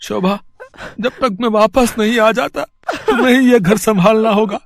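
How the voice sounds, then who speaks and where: A man's voice: sits high, normal speed, vocal fry, dry recording, studio quality. A man voicing a humble, earnest poor food seller in a storybook tale, polite and hesitant. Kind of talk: acted storybook dialogue.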